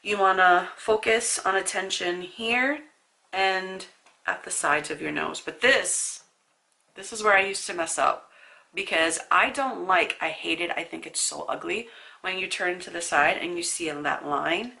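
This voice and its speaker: Stuffy-nosed young woman doing a chatty makeup tutorial, bright tense voice, lots of fry. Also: lowish voice, a little slow. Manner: chatty, storytelling, asides to the viewer. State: stuffy nose from a sinus infection. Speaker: beauty vlogger. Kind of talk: casual makeup tutorial talk.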